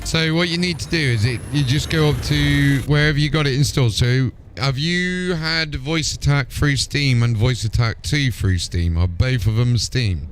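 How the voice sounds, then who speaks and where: A man's voice: medium pitch, normal speed, few pauses, soft background music. A man chatting casually on a game livestream, giving informal step-by-step tech help. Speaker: game streamer.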